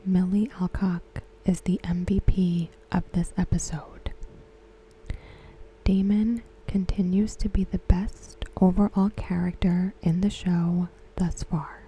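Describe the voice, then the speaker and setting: Asmr lady whispering real soft and breathy, kinda low, flat and measured. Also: slight background noise, poor audio. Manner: slow, measured, hushed. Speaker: ASMR host reviewing a TV episode. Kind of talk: ASMR commentary, softly spoken.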